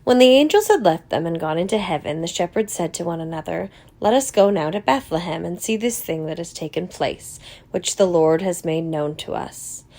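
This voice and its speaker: A woman's voice: lowish voice, expressive, moderate pace, darkish tone. A woman giving a formal scripture reading as a reader at a church service.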